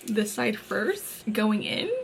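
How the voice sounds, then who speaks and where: A woman's voice: medium pitch, very animated, slow, creaky, a little hiss or hum. A young woman chatting casually to camera about her books, a little stuffy from a cold, with lots of fillers and restarts. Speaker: book vlogger. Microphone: phone camera.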